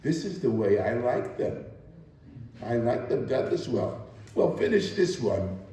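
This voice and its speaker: A man voicing a gentle but cruel female employer, soft and condescending, in a dramatic reading. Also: medium pitch, very animated, a little slow, slight background noise. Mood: gently condescending. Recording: echoey hall, distant microphone.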